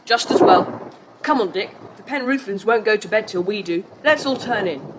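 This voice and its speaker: A woman's voice: medium pitch, animated, normal speed, lightly acted. A woman playing a sensible, decisive older schoolboy who leads his group in a children's audio drama. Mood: decisive, brisk. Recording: slightly noisy tape transfer.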